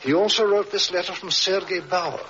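An actor playing a resolute, protective police inspector in an old-time radio drama, urgent and earnest. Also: male voice, high voice, moderate pace, bright, forceful tone. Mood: explaining, grave. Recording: hissy, dull vintage radio recording.

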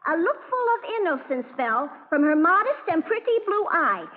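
A woman's voice: extremely high pitch, sing-song, normal speed. A woman playing a proud, stubborn little schoolgirl with a sing-song reciting manner in an old radio drama. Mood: eager, earnest. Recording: echoey, tinny, noisy old radio broadcast.

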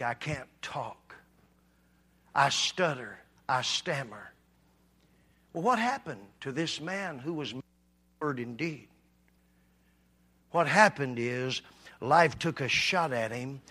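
A man preaching a sermon, swinging from quiet talk to loud, shouted passages. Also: mid-range voice. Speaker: pastor.

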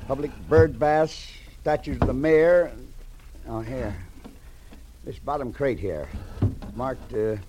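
A man's voice: mid-range voice, slow pace, long pauses, lightly acted. Folksy old police captain played by a man. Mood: genial, wry. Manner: folksy, polite, dryly wry. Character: small, gentle, courteous old male police captain. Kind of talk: 1940s radio drama acting. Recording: old radio recording with light noise.

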